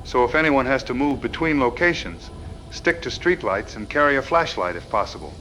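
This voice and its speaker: An earnest town official gives emergency instructions in an audio drama. He is formal, orderly and grave, with a medium-pitched voice. Faint noise sits behind him. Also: expressive, brisk, sharp tone, rough recording. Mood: urgent, cautioning.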